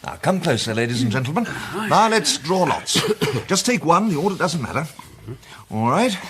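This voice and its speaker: An actor playing a confident amateur sleuth who leads a crime circle in a period radio mystery, brisk and urbane. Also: male voice, on the high side, big pitch swings, crowd noise. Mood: organising. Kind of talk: period detective radio drama.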